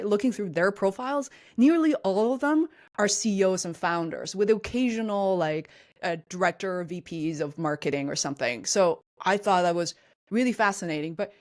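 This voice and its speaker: A woman's voice: medium pitch, a little mellow. A woman hosting an interview podcast, asking long, enthusiastic, rambling questions full of "you know". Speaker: podcast host.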